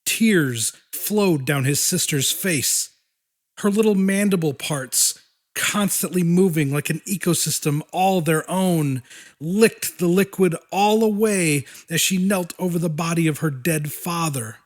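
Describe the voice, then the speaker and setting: A man narrating a horror audiobook in a dramatic, deliberate style with weighty pauses. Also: on the high side.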